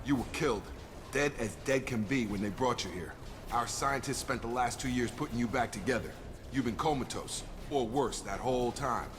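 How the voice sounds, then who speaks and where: A man playing a steady, easygoing soldier in a sci-fi video game, speaking in a direct, matter-of-fact way. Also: medium pitch, normal speed, noisy background, natural voice. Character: steady, easygoing male soldier briefing a comrade. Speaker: game character voice actor. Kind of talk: cinematic sci-fi game dialogue.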